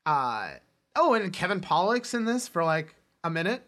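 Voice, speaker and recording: Bright-voiced man talking films, halting delivery. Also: high-pitched, sing-song. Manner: halting, self-interrupting. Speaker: podcast host. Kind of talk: casual film discussion.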